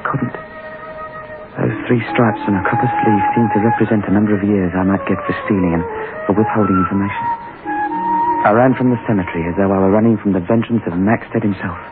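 An actor playing a desperate, guilt-ridden young thief in an anguished, brooding old-time radio style. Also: male voice, on the low side, fairly quick, lightly acted. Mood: bitter, haunted. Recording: narrow-band old radio recording, loud music.

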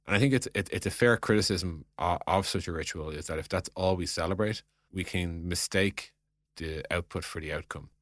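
A man's voice: low voice, brisk, fairly bright tone, no room sound, clean studio sound. A man co-hosting a business podcast, leading with questions and reasoning aloud in an informal, probing way. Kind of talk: casual business podcast conversation.

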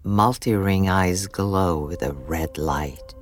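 A man narrating a scripted audio drama deliberately, with dramatic pauses. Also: sits low. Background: music.

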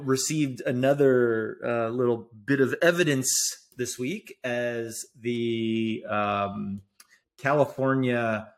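A man co-hosting a casual podcast about investing, chatty and upbeat, laughing often. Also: mid-range voice. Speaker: podcast co-host.

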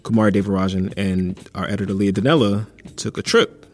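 A man's voice: lowish voice, steady tone, brisk, a little mellow. A man co-hosting a podcast in a playful, joking way. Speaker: podcast co-host. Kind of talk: casual banter.

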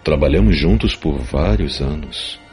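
A man playing a cruel, sneering angel villain in a fantasy audiobook, menacing, ironic and unhurried. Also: animated. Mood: cold irony. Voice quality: very deep, gravelly. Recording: loud music behind. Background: music.

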